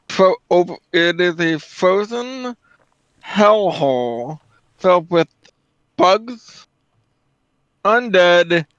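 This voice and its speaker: A man's voice: high-pitched, a bit bright, clean tone, no echo, highs cut off. A man giving casual, opinionated commentary as a guest on a stream.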